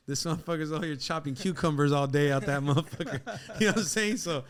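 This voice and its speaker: A man's voice: a little high, fast talker, crowd noise. A middle-aged man talking casually as an interview guest, streetwise and profane, often adding "you know what I'm saying". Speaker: guest rapper.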